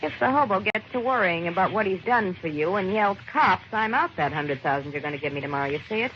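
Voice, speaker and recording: A woman's voice: medium pitch, animated, fast, lightly acted. An American actress playing a greedy, cold-blooded gangster's girlfriend in an old radio crime drama, brash, slangy and sardonic. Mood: calculating. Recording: dull old radio recording, faint noise.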